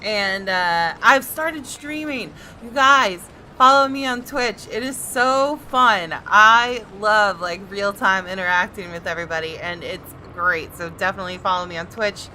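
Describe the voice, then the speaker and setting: A woman's voice: medium pitch, unhurried, hardly any pauses, pushed and bright, slight background noise, poor audio. A woman hosting a gaming video from a room at home, mixing informal commentary with reading game text aloud. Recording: faint music behind the voice.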